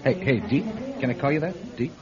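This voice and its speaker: A man playing an anxious, loyal airline co-pilot in an old-time radio drama, earnest and halting in his speech. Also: mid-range voice, expressive, crowd noise, lightly acted. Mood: reassuring, earnest. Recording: muffled, faintly noisy radio drama recording.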